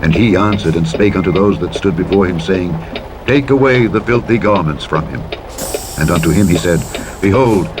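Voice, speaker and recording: A man reads the King James Bible aloud as a narrator, in a solemn, deliberate, declamatory style with measured phrasing. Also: low voice, background noise. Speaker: scripture narrator. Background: truck driving sounds.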